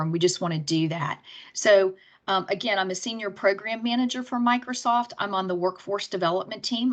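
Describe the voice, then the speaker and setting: A woman with a fairly low voice gives earnest corporate remarks over a video call. She often pauses on fillers as she talks. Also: fairly bright tone. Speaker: program manager.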